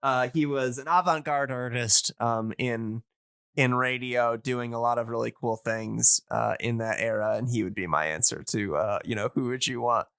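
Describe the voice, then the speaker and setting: Bright, crisp-voiced man chatting casually. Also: medium pitch, moderate pace, no room sound. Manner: enthusiastic, rambling explainer who searches for words. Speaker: podcast host. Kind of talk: casual podcast chat.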